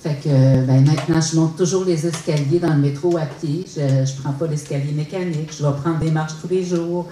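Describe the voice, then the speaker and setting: Low-voiced Québécois woman, fifties, halting testimony, distant roomy hall sound. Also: fairly even intonation, warm tone, slight background noise. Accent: Québécois French. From Quebec. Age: 50s. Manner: hesitant, many fillers and restarts. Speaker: research study participant. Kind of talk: public testimony at a talk. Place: lecture hall.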